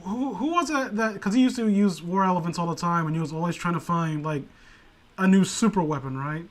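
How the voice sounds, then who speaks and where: A man's voice: high-pitched, fairly quick. A man hosting a casual chat before a story reading, ranting and joking with plenty of swearing. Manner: profane, joking, animated banter. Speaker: host of a story reading stream.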